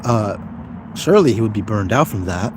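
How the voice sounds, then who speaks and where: A man's voice: fairly low pitch, animated, moderate pace, noisy background. A young man talking casually on a livestream, informal and slangy, thinking aloud as he answers viewers. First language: Somali speaker, as second language. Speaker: YouTuber live-streaming.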